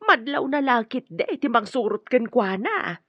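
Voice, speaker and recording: A very high-voiced madam of the house in an Ilocano radio drama, plaintive and melodramatic. Her accent is Ilocano and the sound is dull and close, with no room around it. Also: sing-song, normal speed, dry recording. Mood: concerned, scheming. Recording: dull, dry lo-fi studio sound.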